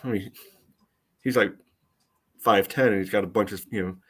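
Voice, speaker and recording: A man's voice: on the low side, moderate pace, husky. An American man co-hosting a soccer podcast, analyzing play casually and thinking aloud with a lot of "you know".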